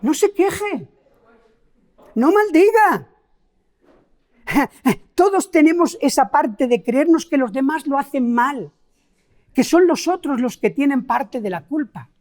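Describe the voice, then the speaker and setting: Animated Spanish woman preaching. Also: high voice. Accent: Spain Spanish. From Spain. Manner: animated, rhetorical questions to the congregation; emphatic, slows down and stresses key words. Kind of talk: sermon.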